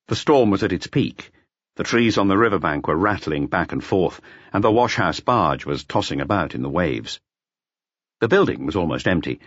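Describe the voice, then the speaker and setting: Husky, expressive male audiobook narrator. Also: low voice, no echo. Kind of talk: scripted dramatic narration. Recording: slightly dull, dry audiobook recording.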